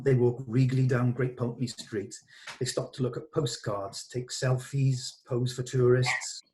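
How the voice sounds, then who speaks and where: A man's voice: mid-range voice, darkish tone, poor audio. A man giving a scripted narration of screenplay stage directions in a read-through over a video call. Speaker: reader of stage directions, running the rehearsal.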